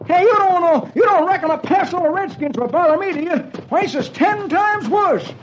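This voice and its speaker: Shrill, frantic Western wagon driver. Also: male voice, moderate pace. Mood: agitated. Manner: folksy Western talk, excitable. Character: frantic, hollering old-West ore wagon driver. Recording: dull, noisy old radio recording.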